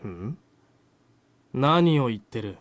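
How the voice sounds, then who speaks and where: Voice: mid-range voice, very animated, a little slow, no echo. A performer voicing a mocking, man-eating otherworldly god in a visual novel, with a teasing, taunting manner. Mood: evasive. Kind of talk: Japanese visual novel voice acting.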